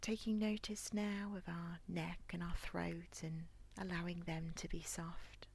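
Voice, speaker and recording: A woman leading a guided body scan meditation in a soft, near-whispered, breathy voice with a calm, gentle, soothing manner. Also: on the low side, a little slow, a little hiss or hum. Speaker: guided relaxation instructor.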